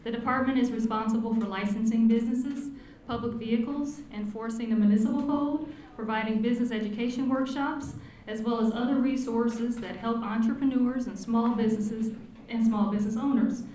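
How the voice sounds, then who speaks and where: A woman delivers a formal, scripted address, her voice sitting a bit high. The sound rings through a large hall with strong echo. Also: fairly even intonation, a little fast, warm tone, a little hiss or hum. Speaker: city commissioner introducing speaker. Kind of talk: prepared speech. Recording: echoey hall.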